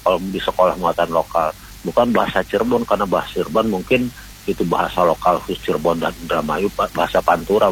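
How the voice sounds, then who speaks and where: A man with a low voice speaks as a radio caller over a faintly hissy line. He rambles earnestly, repeating himself and halting as he urges his point. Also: fairly bright tone, low-quality recording. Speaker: radio call-in listener. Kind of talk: rambling earnest opinion talk.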